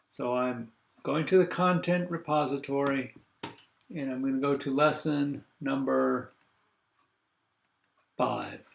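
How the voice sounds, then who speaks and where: An older man lecturing a university class over a video call, congested, halting and full of fillers as he thinks aloud. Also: medium pitch, steady tone, gravelly, reverberant.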